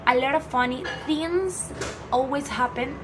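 Cheerful Ecuadorian teen girl, halting English. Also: sits high, music in the background, low-quality recording. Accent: Ecuadorian Spanish. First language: Spanish. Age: teens. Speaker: student vlogger. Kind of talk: casual self-introduction to camera. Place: small room indoors. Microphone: phone front camera at arm's length.

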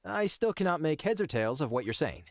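A man's voice: fairly high pitch, very animated, fairly quick, no echo, clean studio sound. A young man voicing a wry, exasperated, earnest young lord in a fantasy game, with an incredulous manner. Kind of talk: fantasy game character acting.

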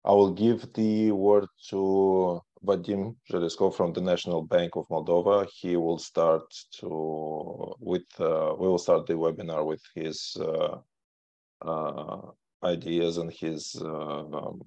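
A man moderating a webinar on a video call, haltingly searching for words as he hands over to speakers. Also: low-pitched, flat delivery, vocal fry, dark tone, dry recording. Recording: slightly dull, dry video-call audio.

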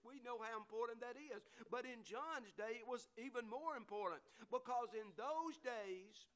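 An older man preaching a sermon from a church pulpit in a measured way, reading scripture aloud. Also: very high voice, fairly bright tone. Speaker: pastor. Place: church sanctuary, indoors. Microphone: pulpit microphone.

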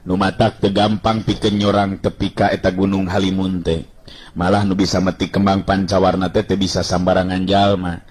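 Man playing a low, husky, serious guide. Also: level tone, darkish tone. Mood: earnest explaining. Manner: measured, serious explaining. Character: calm, knowledgeable male guide, earnest and cautionary. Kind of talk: Sundanese radio storytelling.

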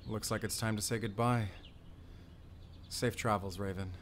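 A man's voice: lowish voice, steady tone, unhurried, heavy background noise, lightly acted. A man playing a hurt, pleading, lovelorn young man in an audio roleplay. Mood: sad, resigned.

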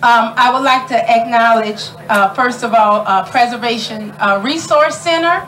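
A woman's voice: on the high side, normal speed, a bit bright, rough recording. A middle-aged woman emceeing an outdoor community ceremony, chatty and folksy, laughing often and calling out to the crowd. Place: outdoor tent at a park gathering.

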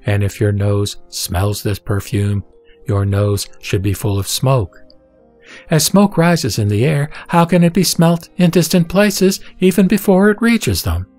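Male reciter, mid-pitched voice, scripted sacred text reading, measured didactic delivery, music behind. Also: darkish tone. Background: background music.

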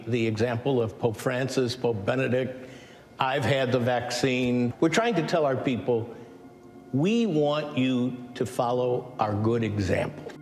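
An older man, a church leader, answering interview questions in a measured way with hesitant fillers, filmed indoors. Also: mid-range voice, darkish tone, big-room sound.

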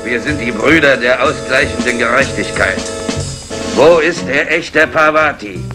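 An actor playing a menacing kidnapper from a secret brotherhood in a 1960s German crime radio play, speaking slowly and deliberately. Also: male voice, medium pitch, music in the background, lightly acted. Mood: cold menace. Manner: slow, deliberate, threatening.

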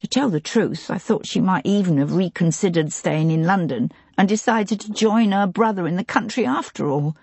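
A woman voicing a plump, tearful older housekeeper who talks on anxiously and pleadingly, with a breathy voice. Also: fairly low pitch, moderate pace, few pauses, a little mellow, no room sound.

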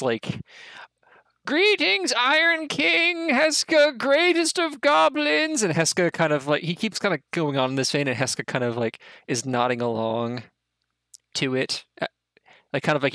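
A man's voice: mid-range voice, fairly bright tone, no echo. A man in his thirties narrating a tabletop game as game master over a video call, rambling with lots of fillers. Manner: rambling, filler-heavy, often laughing.

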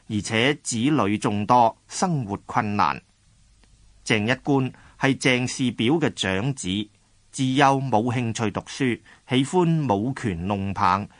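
A man's voice: medium pitch, a bit bright, no room sound. A man with a Hong Kong Cantonese accent reading scripted historical narration for a radio drama.

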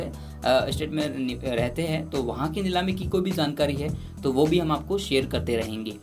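Male news presenter, higher-pitched, casual conversational read over background music. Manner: conversational, with many fillers and restarts.

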